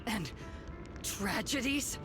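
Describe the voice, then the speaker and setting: A game villain, an embittered colonel who secretly leads a cult. Her voice sits fairly high and she speaks in measured, theatrical menace. Loud dramatic music plays behind her. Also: lively intonation. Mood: cold, solemn. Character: cold, embittered military colonel secretly leading a cult, woman. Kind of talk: dramatic video game voice acting.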